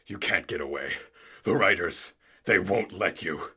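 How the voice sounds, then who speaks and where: A man playing a flustered, theatrical radio drama narrator, halting and dramatic as he pleads. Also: low voice, animated, bright, forceful tone, slightly rough, exaggerated voice.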